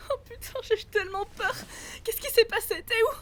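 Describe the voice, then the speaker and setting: An actress playing a frightened wife in a French audio drama, pleading and insistent. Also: female voice, very high voice, fairly quick, a bit bright. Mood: tearful relief. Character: frightened, distraught wife of a ranger.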